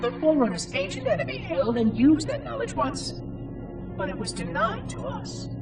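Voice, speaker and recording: A man's voice: extremely high pitch, huge pitch swings, moderate pace, exaggerated voice. A man voicing an ancient, fussy, curious robotic monitor in a video game, with precise, formal, theatrical delivery and a synthetic processed sound. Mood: earnest, grave. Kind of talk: video game cutscene log narration. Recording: distant, echoing, music underneath. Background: music.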